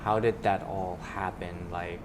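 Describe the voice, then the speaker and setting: A young adult man hosting an interview show, upbeat in his intro and softer as he asks questions. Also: low-pitched, fairly even intonation, measured pace, noisy background. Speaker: podcast host.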